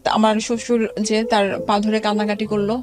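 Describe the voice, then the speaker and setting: Fast-talking Bangladeshi woman, halting storyteller. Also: medium pitch, monotone. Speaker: interview guest telling own life story. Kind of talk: personal storytelling in a radio interview.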